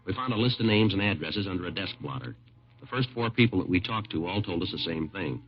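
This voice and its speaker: Actor as fast, deadpan, low-voiced police detective. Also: male voice, natural voice. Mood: flat, factual. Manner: deadpan, matter-of-fact, clipped. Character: no-nonsense male police detective, clipped, unemotional, dryly sardonic. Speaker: narrator and lead detective. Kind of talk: 1950s radio police drama acting, terse report-like narration. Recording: muffled old 1950s radio recording.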